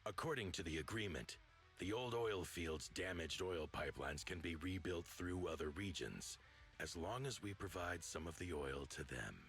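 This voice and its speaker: Imposing game overlord, very low forceful voice, stern, dignified, commanding, formal phrasing. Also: male voice, lively intonation, normal speed, faint music behind. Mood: formal, assured. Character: imposing male overlord leader of a motor league, stern, dignified.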